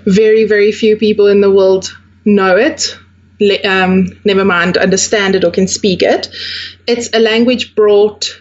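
A woman's voice: medium pitch, steady tone, unhurried, dull audio. A South African woman whose first language is Afrikaans, a podcast guest answering questions in a friendly, slightly hesitant way. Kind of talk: interview answers.

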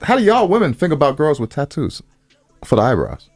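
A man's voice: a little high, wildly swooping pitch, a little fast, dry recording, faint music behind. A man co-hosting a podcast with playful, slangy, joking banter, swearing freely and repeating his phrases. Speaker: podcast co-host.

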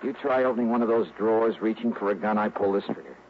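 A muffled, hissy old radio recording carries a low-pitched, tough male investigator played by an actor. He is wry and clipped, in the hard-boiled style of 1960s radio drama. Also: fairly even intonation, fast talker, natural voice. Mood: menacing. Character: tough, wry male insurance investigator narrating his case.

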